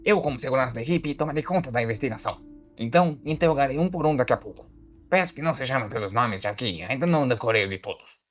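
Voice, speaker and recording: A man voicing a blunt, exasperated alligator detective, a ship's guard who questions people in a casual, muttering way, in an animated film. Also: mid-range voice, close to an everyday voice. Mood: businesslike. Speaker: ship security guard turned detective. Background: faint music.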